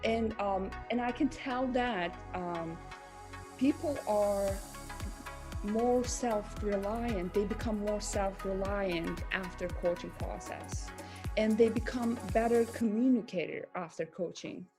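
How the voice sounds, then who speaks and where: A middle-aged woman from Turkey talks through her coaching work at an even, mid-height pitch. She speaks thoughtfully, pausing with fillers and restarting her sentences. Faint music sits under her voice. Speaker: career coach and pharmacist. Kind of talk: casual interview chat.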